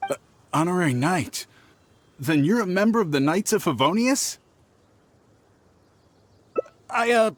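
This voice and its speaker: An actor playing a nervous traveling merchant in a game, with a hesitant, stammering, evasive delivery. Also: male voice, sits high, a bit bright, slight background noise, full character voice. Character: nervous, shifty traveling merchant man with dubious excuses. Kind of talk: animated game voice acting. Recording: music behind the voice. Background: game music.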